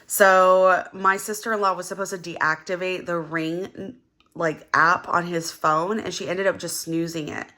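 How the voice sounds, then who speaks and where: A young woman with a slightly low yet bright, forward voice talks casually while counting money. Her tone is a little gravelly and hoarse from just having woken up. She rambles along with plenty of fillers and counts out loud. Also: steady tone, a little slow. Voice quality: gravelly early-morning voice. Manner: chatty, rambling, with many fillers and counting aloud. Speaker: budgeting vlogger.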